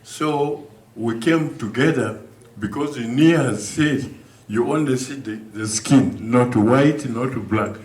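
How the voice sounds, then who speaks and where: An older man from Uganda, speaking earnestly to a community gathering in halting, restarting sentences. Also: medium pitch, darkish tone. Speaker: guest speaker. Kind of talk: community speech. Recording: echoey room from far off.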